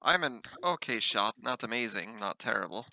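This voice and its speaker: A man playing a tabletop roleplaying game, casually and somewhat tentatively planning out loud. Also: medium pitch, lively intonation, moderate pace, creaky, dry recording, rough recording.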